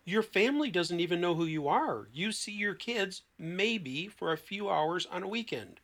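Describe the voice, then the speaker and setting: A man speaking conversationally as a therapist on a podcast panel, blunt and emphatic, with deliberate pauses and rhetorical questions. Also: sits high, animated, creaky, a bit bright. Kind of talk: conversational podcast talk with acted-out client dialogue.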